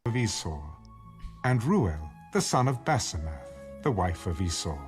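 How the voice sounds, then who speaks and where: Slow, low-voiced man narrating scripture. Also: big pitch swings. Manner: measured, formal. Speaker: narrator of an audio Bible. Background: background music.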